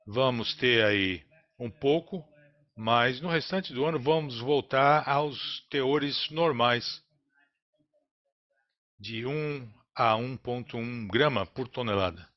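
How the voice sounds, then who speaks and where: A man with a Brazilian accent interprets a business earnings call live, in a halting, phrase-by-phrase delivery. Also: mid-range voice. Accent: Brazilian Portuguese. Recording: dull, lo-fi conference call audio.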